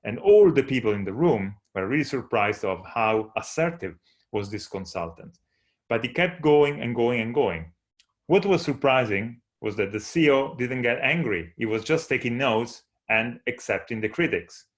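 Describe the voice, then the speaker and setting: A man's voice: medium pitch, animated, moderate pace. A man from Italy, a non-native speaker of English with an Italian accent, giving an earnest, explanatory conference talk from slides. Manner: earnest, explanatory, with occasional restarts.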